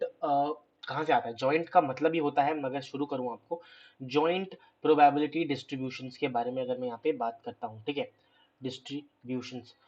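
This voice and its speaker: A man's voice: fairly high pitch, lo-fi sound. A man giving a casual online math lecture, talking to viewers like friends and mixing in English phrases.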